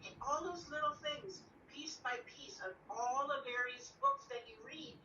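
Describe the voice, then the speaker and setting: A woman's voice: high-pitched, sing-song, a little slow, sharp tone. A woman who is an elementary school teacher, talking informally and encouragingly to children and explaining things. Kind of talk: informal classroom talk to children. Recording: roomy, distant, dull video-call sound, faint noise.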